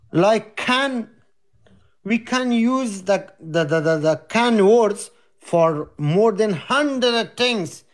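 An older man who is not a native English speaker speaks slowly and haltingly on a video call, full of fillers and repeated pleas to be heard. His voice sits a little high for a man. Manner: halting, many fillers, pleading. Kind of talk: heated online debate.

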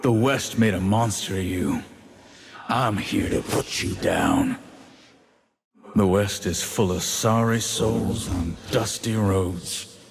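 An actor playing a grim, vengeful video game gunslinger with an inner demon, in a slow, menacing drawl. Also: male voice, fairly low pitch, big pitch swings, gravelly, slight background noise, full character voice. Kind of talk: dramatic video game voice lines. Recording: long reverb effect on game audio.

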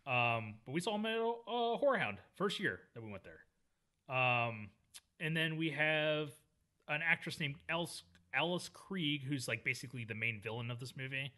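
A man's voice: medium pitch, animated, moderate pace, pushed and bright. A man co-hosting a casual film podcast, running through cast credits with enthusiasm. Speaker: podcast co-host. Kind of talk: casual chat.

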